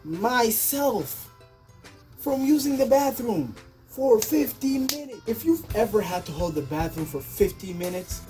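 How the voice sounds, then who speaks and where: A young adult woman telling a personal story casually and comedically, exaggerating and acting out her reactions in an animated way. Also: a little high, measured pace, faint music behind. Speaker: storytelling video creator.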